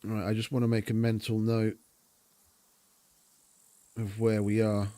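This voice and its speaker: A man's voice: fairly low pitch, monotone, unhurried, dark tone, dry recording. A man giving casual commentary while streaming a game, thinking aloud and talking to his chat. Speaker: gaming streamer.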